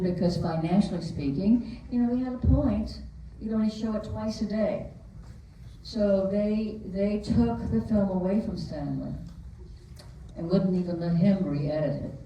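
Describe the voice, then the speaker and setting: A woman with a low, mellow voice tells an anecdote at a theater Q&A in a relaxed, chatty way. She is picked up from a distance, and her voice echoes through a large hall. A faint hiss runs under her. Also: moderate pace. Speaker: film producer on a panel. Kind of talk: storytelling at a Q&A.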